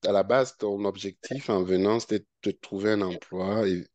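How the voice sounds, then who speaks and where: A man's voice: lowish voice, steady tone, moderate pace, a little mellow, no room sound, muffled recording. A man hosting an interview, often saying brief acknowledgements and rephrasing his questions. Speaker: host.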